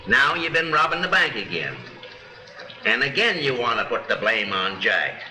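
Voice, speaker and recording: An actor playing a shrewd, blunt small-town lawman in a 1930s film, deliberate and dryly sarcastic as he probes and accuses. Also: mid-range voice, big pitch swings, bright, forceful tone. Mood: accusing, stern. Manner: deliberate, probing, with dry sarcasm. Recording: roomy 1930s film soundtrack, music underneath.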